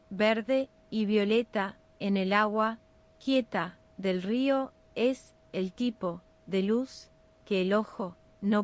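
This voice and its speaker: A woman with a Rioplatense Spanish accent narrating a scripted bedtime meditation in a soothing, unhurried, measured ASMR style. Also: mid-range voice.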